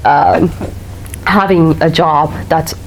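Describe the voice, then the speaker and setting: A woman's voice: deep voice, steady tone, slow pace, slight background noise, low-quality recording. A woman from Africa with an Eritrean accent, speaking as a guest author at a library talk, laughing often and repeating words for emphasis. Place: public library room.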